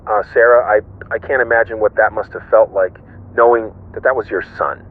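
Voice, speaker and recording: A man's voice: on the low side, fairly even intonation, brisk, phone-quality sound, lightly acted. A man playing a sympathetic, hesitant interviewer in a radio horror drama.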